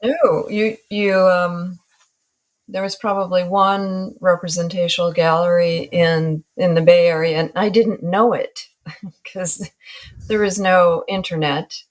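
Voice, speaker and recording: A woman's voice: on the low side, steady tone, slow pace. A woman in her sixties from Northern California, a guest artist in an interview, talking in a reflective, wry way and laughing at herself. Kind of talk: relaxed interview talk.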